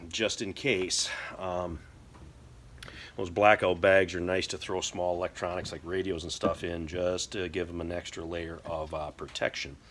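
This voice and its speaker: A middle-aged American man explaining things casually to camera in a halting, folksy way. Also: low voice, flat delivery, a bit bright, a little hiss or hum. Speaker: prepper video host. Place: indoor workshop or garage.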